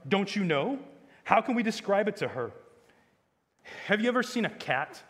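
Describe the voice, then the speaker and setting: Man doing a plucky, high-pitched English schoolboy. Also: normal speed, big-room sound, natural voice. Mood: exasperated, earnest. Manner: hearty, blunt, old-fashioned schoolboy slang. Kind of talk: children's fantasy audiobook.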